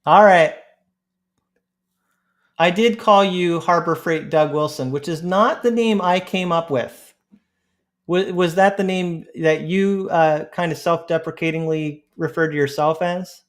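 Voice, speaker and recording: A man's voice: on the high side, unhurried. Easygoing male podcast co-host. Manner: easygoing, reads viewer questions aloud. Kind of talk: casual chat.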